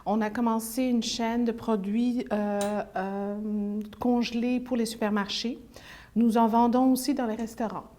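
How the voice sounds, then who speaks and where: A woman's voice: a little high, fairly even intonation, measured pace, dark tone. A woman, answering interview questions hesitantly with many fillers and restarts. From Belgium. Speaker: business owner, interview guest.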